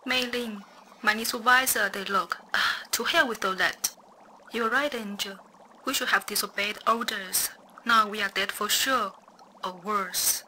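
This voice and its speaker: A woman's voice: mid-range voice, fairly even intonation, measured pace, sharp tone. An actress playing a frightened, doomed female scientist sending an urgent warning in clipped phrases, in a horror game audio log. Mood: bitter regret. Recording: faintly noisy audio-log recording.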